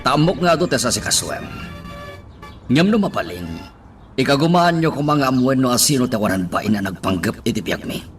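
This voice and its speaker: A man acting as a wealthy, authoritative boss in a radio drama, shaken yet controlled. His mid-pitched voice swings widely and sits a little away from the mic, with music underneath. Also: very animated, normal speed, distant mic, lightly acted. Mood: firm.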